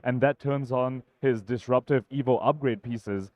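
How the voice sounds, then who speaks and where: A man's voice: mid-range voice, moderate pace, a little mellow. A man giving analytical live commentary as a caster at a card game tournament. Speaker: esports-style tournament commentator.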